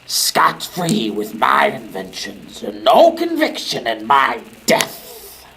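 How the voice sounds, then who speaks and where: An actor playing a sadistic, vengeful inventor in an old-time radio drama, menacing and theatrically gloating. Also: male voice, mid-range voice, wildly swooping pitch, slow, gravelly, sharp tone, a little hiss or hum, heavily acted.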